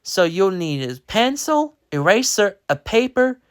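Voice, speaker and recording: Brief: reader playing upbeat teacher lady, raised pitch, slow. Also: very animated, smooth. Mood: cheerful. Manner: upbeat classroom instructions. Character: cheerful female art teacher.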